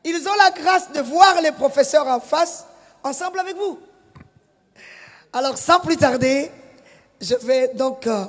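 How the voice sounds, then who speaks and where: Brief: very high-pitched male pastor, emphatic church intro, long reverb from a big hall. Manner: exhorting, emphatic, hesitant with fillers. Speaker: pastor introducing the guest speaker.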